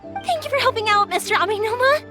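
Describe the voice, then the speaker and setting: A woman's voice: very high voice, expressive, quick pace, over loud music. An actress voicing a cheerful, chatty young woman who makes fireworks. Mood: bubbly. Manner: bubbly, chatty, eager. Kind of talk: video game voice acting.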